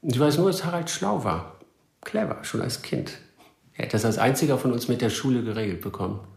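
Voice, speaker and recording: A man's voice: medium pitch, lively intonation, a little fast, creaky, a little mellow. A man playing a surly, blunt prisoner in a radio crime drama, curt, defensive and colloquial, in an echoey room. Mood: defensive, grudging. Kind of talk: naturalistic radio drama acting.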